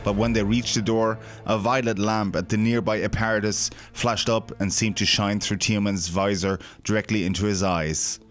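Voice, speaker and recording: A man's voice: fairly low pitch, fairly even intonation, normal speed, hardly any pauses. A man reading scripted, dramatic narration for a science-fiction audio drama. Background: background music.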